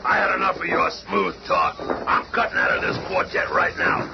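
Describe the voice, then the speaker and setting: An actor playing a gruff, hot-tempered rock-monster hero with a gravelly voice and tough street slang in a 1970s radio drama. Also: male voice, lowish voice, wildly swooping pitch, moderate pace, heavily acted. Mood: bitter, defiant. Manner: tough working-class slang, sarcastic. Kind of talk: 1970s radio superhero acting. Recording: very noisy old radio recording.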